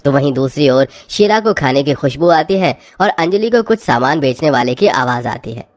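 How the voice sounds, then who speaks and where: A woman's voice: deep voice, animated, normal speed, a little mellow. A woman narrator reading a scripted cartoon story.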